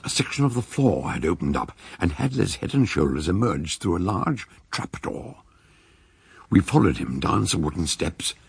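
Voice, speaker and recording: Older male detective, low, extremely husky, theatrical, measured radio drama storytelling. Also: exaggerated voice. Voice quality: very husky, gravelly. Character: eccentric older male detective recounting a case. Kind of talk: scripted radio drama narration.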